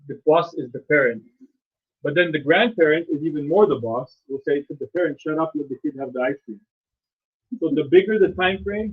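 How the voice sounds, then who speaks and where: Husky man teaching trading to a meetup. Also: on the high side. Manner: rhetorical questions, boastful, uses everyday analogies. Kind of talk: live teaching talk to a small group. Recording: muffled phone-like recording.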